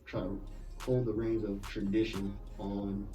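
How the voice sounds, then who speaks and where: Podcast panelist, male, lowish voice, thoughtful casual talk, mic set back with faint music. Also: unhurried, distant mic. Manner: relaxed, thoughtful, repeats words as he builds a point.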